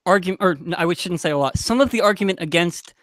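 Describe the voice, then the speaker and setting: A man with a notably high voice talks on a podcast panel, recorded dry. He is emphatic and conversational, correcting himself as he goes. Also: fast talker, fairly bright tone, dry recording. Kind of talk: casual panel discussion.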